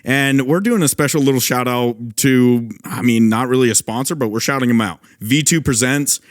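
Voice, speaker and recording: A man hosting a podcast, upbeat and chatty, opening with greetings and shout-outs. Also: mid-range voice, normal speed, no echo, clean studio sound. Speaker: podcast host. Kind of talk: casual chat.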